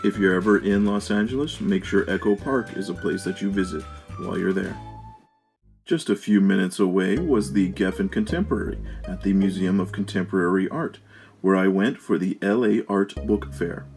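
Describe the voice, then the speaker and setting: A man's voice: deep voice, normal speed, creaky, a little mellow, soft background music. A man narrating a travel vlog as its host, reading from a script.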